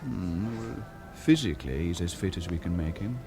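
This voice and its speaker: An actor playing a measured, protective hospital doctor in a radio drama, speaking in a considered, slightly hesitant way. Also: male voice, sits low, lively intonation, over music. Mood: concerned.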